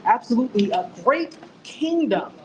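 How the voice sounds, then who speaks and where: A woman's voice: on the high side, expressive, normal speed, a little mellow, a little hiss or hum. Woman guest explaining with emphasis. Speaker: guest, media academic. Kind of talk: casual explanatory talk.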